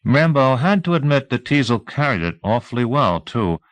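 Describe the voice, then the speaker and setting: A man reading a novel as an audiobook narrator, in measured, clearly articulated scripted storytelling. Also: medium pitch, sing-song, dry recording.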